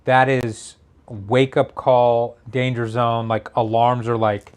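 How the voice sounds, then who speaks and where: Casual man, slightly raspy. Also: mid-range voice, level tone, slow pace. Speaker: show co-host reading viewer messages. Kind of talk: casual chat.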